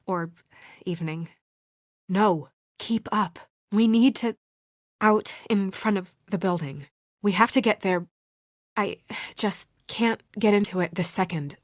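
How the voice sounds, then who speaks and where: An actress playing a brisk, exasperated, dutiful young professional woman in a video game, speaking in a crisp, earnest, businesslike way. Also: mid-range voice, no echo, studio quality, lightly acted. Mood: hurried, tense.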